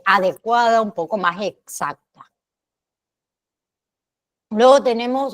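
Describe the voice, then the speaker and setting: A woman lecturing as a course teacher, often tagging her phrases with short questioning check-ins. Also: mid-range voice. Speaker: teacher of an online pharmacy assistant course. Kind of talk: online lecture, explaining history to students.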